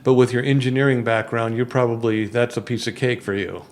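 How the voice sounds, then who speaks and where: A man who is a real estate broker hosting a radio interview in a studio, asking rambling, halting questions. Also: mid-range voice, steady tone.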